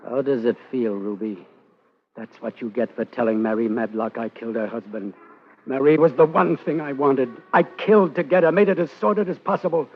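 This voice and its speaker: A man's voice: mid-range voice, fairly quick, slight background noise, lightly acted. Man acting a menacing hard-boiled killer, muffled sound. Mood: bitter, vengeful. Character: cold, vengeful male killer, menacing and bitter. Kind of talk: 1950s radio drama acting. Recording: dull vintage radio recording.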